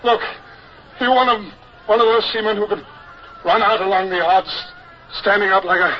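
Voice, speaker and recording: An actor playing an anxious young British naval officer in command, urgent and strained, in an old radio drama. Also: male voice, high voice, normal speed, lightly acted. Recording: muffled, noisy old radio recording.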